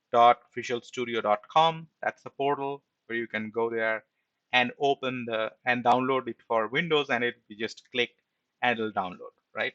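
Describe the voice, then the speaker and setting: A man's voice: mid-range voice, fairly bright tone, dry recording, lo-fi sound. A man giving an informal screen-share programming tutorial as an instructor, explaining step by step and often checking in with a short tag question.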